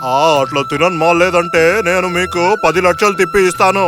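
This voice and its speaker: Man playing a confident merchant, fairly high voice. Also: brisk, music in the background, natural voice.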